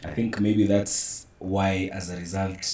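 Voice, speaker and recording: A man's voice: low voice, little pitch movement, a little slow, darkish tone, a little hiss or hum. A man with a Kenyan accent chatting casually as an interview guest. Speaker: theatre producer, interview guest. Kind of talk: casual interview chat mixing English and Swahili. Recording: roomy echo, distant microphone.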